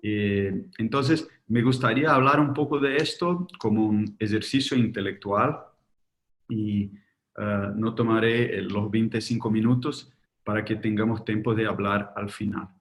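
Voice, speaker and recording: Un hombre brasileño de lengua materna portuguesa, con un leve acento argentino, da una charla en un seminario web por videollamada, con habla pausada y llena de muletillas. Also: male voice, fairly low pitch, steady tone. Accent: slight Argentine. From Brazil. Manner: slow, hesitant, with many fillers. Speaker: guest speaker, ophthalmologist. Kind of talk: webinar lecture. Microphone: video call.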